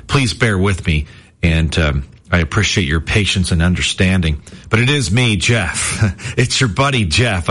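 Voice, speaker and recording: Low, strained morning radio host. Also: male voice, a bit bright. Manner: rambling, self-deprecating, chuckling. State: voice deeper and strained from overuse. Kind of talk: casual morning radio chat.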